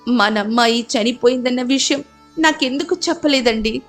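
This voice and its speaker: A woman's voice: on the high side, moderate pace, faint music behind, close to an everyday voice. A woman voicing a grieving, tearful mother who pleads plaintively in a dramatic audio story. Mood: tearful, betrayed. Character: grieving, tearful mother longing for her daughter.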